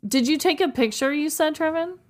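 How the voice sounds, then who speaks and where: A woman's voice: high-pitched, fairly quick. A woman chatting casually on a podcast in an informal, supportive and joking manner. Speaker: podcast panelist. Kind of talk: casual chat.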